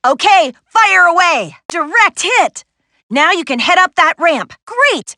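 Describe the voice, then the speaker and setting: A woman voicing a spirited, capable young woman adventurer in an animated video game, with an American accent and a wry, sassy, instructive way of talking. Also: extremely high pitch, slow, no room sound, studio quality, exaggerated voice. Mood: upbeat, encouraging. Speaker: video game character voice actress.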